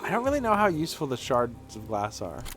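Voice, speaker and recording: A man streaming a game, giving playful, excitable commentary as he plays. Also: mid-range voice, fast talker, over music. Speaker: gaming streamer. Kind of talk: let's play commentary.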